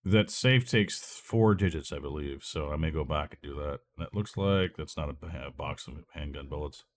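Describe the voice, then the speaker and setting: A man's voice: rumbling low, normal speed, a little hiss or hum. A man with a stuffy, nasal voice from a cold, rambling and thinking aloud as he plays a video game. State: recovering from illness, congested. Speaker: let's play gamer. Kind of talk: casual gameplay commentary.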